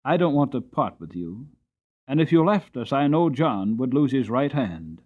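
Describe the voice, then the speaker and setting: A man voicing a grave but kindly Victorian master of the house in an audiobook, measured, formal and genial. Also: medium pitch, very animated, narrow, tinny audio. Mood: kindly, businesslike. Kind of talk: dramatic audiobook character reading.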